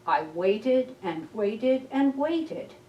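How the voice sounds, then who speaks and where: Slow-reading woman in an echoey room. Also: on the high side, animated, dull audio. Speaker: library storyteller. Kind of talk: reading a children's picture book aloud. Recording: roomy echo, distant mic.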